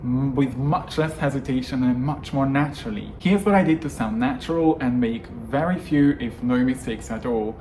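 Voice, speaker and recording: A man's voice: mid-range voice, moderate pace, few pauses, darkish tone, slight background noise, low-quality recording. A man whose first language is Hungarian, talking as an English coach in a scripted video lesson, emphatic and stressing words in lists. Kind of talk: scripted YouTube lesson monologue.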